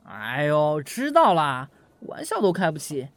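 A man's voice: a little high, huge pitch swings, unhurried, fairly bright tone, exaggerated voice. A man playing a chatty, playful young office worker in an audio drama, teasing and eager.

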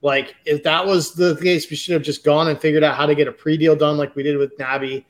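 A man's voice: on the high side, level tone, fairly quick. A man co-hosting a casual sports chat, talking bluntly and with exasperation, swearing now and then. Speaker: podcast co-host.

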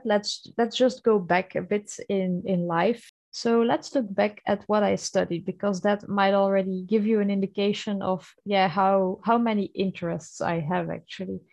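A woman's voice: mid-range voice, creaky, a little mellow, dry recording. Chatty Flemish woman podcast host. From Belgium. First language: Dutch. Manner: earnest, chatty, frequent fillers and restarts. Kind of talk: casual solo podcast monologue.

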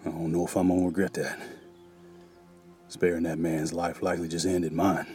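A very low-voiced, righteous frontier cavalry sergeant, acted by a man in a Western radio play. He speaks gravely and wearily, with scripture in his talk and faint music underneath. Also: little pitch movement, moderate pace, darkish tone, lightly acted. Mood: weary. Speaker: actor in an audio drama. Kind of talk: Western audio drama acting.

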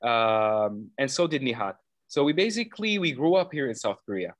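Man, 30s, from Lebanon; mid-pitched, earnest, filler-heavy explainer, dry mic. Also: expressive, normal speed, no room sound. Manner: earnest, explains at length with frequent uh fillers and self-corrections. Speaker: program founder interviewed as guest. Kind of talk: conversational interview answers.

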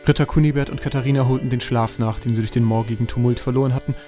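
A man's voice: medium pitch, fairly even intonation, racing, music in the background. A man narrating a scripted comic fantasy audio drama as its storyteller.

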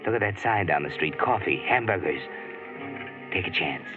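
A man's voice: low-pitched, expressive, moderate pace, highs cut off, over music. A man narrating an old radio drama in the second person with tense urgency, on an old radio broadcast recording. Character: grim male narrator addressing a hunted woman.

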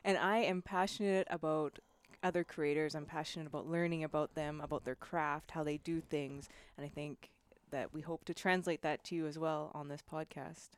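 A woman's voice: low-pitched, steady tone, normal speed. A woman from Canada, co-hosting a casual podcast chat, easygoing and giggly. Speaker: podcast co-host.

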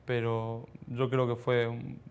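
Hesitant young Argentine man, smooth and even. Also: medium pitch. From Argentina, childhood in Ecuador. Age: around 20. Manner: modest, hesitant, halting. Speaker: professional footballer being interviewed. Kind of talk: casual interview answers.

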